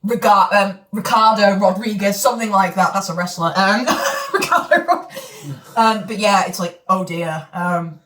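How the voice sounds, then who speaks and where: A woman talks through a book she is reading aloud, mocking it with plenty of fillers and quick restarts. She sits back from the mic, and the room rings around her voice. Her pitch sits in an ordinary middle range. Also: fairly bright tone. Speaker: co-host reading a novel aloud. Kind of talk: casual chat and read-aloud commentary. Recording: echoey room, mic far off.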